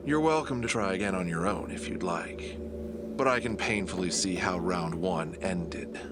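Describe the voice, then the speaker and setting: Low, menacing villain, acted by a man. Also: loud music behind the voice, lightly acted. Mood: measured, superior. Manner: measured, menacing, formal, condescending. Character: cunning, proud villain, reluctant ally to his nemesis. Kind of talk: audio drama acting.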